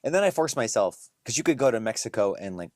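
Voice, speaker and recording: A man hosting a podcast, talking in a relaxed, rambling way with frequent restarts. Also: medium pitch, fast, dry recording, studio quality. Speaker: podcast host. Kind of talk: casual conversation.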